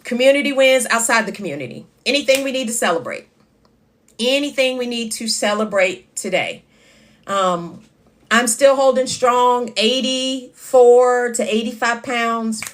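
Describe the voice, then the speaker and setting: A woman, hosting a casual live chat with a loud voice that carries and reading viewer comments aloud. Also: a little high, measured pace. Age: 50s. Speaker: livestream host.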